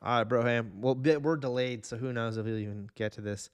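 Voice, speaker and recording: A man's voice: mid-range voice, a little fast, clear, resonant tone. Casual man hosting a chat. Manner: informal, conversational, checks in with co-hosts. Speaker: podcast host. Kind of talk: casual chat, movie ranking discussion.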